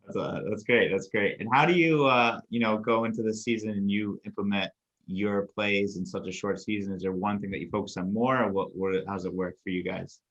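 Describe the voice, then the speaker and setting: A man hosting a podcast, asking questions in halting, rephrased bits. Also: on the low side, fairly even intonation, fairly bright tone, dull audio. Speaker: podcast host. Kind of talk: interviewing.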